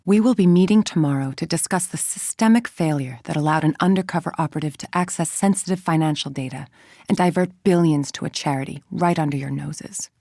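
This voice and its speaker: Cold scheming scientist villain for a video game, low husky voice, controlled n menacing. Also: female voice, brisk, dark tone, no echo, studio quality. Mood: stern, threatening. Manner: clipped, controlled, authoritative and menacing. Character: cold, calculating middle-aged female villain scientist.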